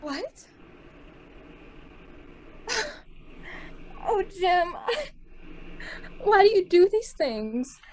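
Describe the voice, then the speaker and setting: Radio-play wife, stunned and pleading, played by a woman, slow and swooping. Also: extremely high pitch, big pitch swings, a little mellow, a little hiss or hum, exaggerated voice. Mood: distraught. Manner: halting, distraught. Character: bewildered young wife of a burglar. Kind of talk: community theater radio play.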